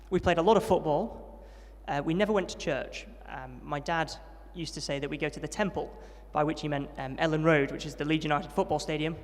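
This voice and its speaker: A young man recounts his upbringing at a brisk pace and a slightly raised pitch. He is friendly and self-deprecating, with plenty of ums. His voice rings with the long reverb of a large hall. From Yorkshire, England. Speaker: interviewee, trainee vicar. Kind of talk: testimony interview at a student event.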